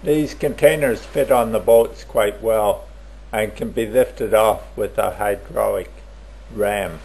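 Slow, measured man narrating travel footage. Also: fairly low pitch, vocal fry, a little mellow, a little hiss or hum. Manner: plain, measured, unhurried narration. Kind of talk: slow scripted travel voice-over.

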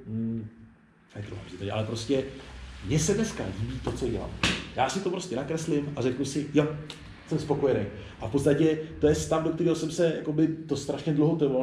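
Mellow-voiced man chatting in an echoey room. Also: mid-range voice, a little hiss or hum. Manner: informal, rambling, with swearing. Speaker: interview guest, illustrator. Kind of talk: casual candid interview chat.

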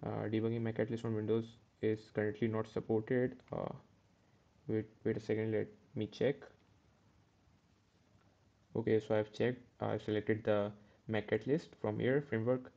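A man's voice: lowish voice, little pitch movement, normal speed, gravelly, dark tone, lo-fi sound. A man teaching a step-by-step coding tutorial over a screen recording, correcting himself as he goes. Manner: step-by-step, self-correcting with "uh" and "okay". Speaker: programming tutor.

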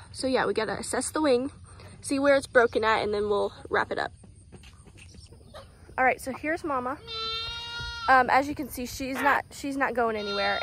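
A young woman with a Southern US accent, talking casually through a how-to farm vlog, full of "um"s and soft, soothing words to her animals. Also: fairly high pitch, slight background noise. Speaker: vlogger caring for farm animals. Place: outdoors on a farm by animal pens. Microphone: phone camera held close, selfie framing.

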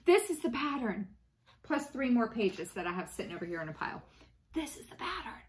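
A woman's voice: fairly high pitch, sing-song, fairly quick. A woman in her late thirties chatting casually to camera at home about her cross-stitch project, rambling with many ums and restarts. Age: 30s. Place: small room indoors at home.

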